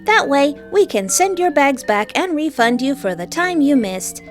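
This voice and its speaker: A woman's voice: high voice, sing-song, moderate pace, faint music behind, voice pushed up. A woman voicing a cheerful, helpful hotel computer mascot with a chirpy customer-service manner. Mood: chipper.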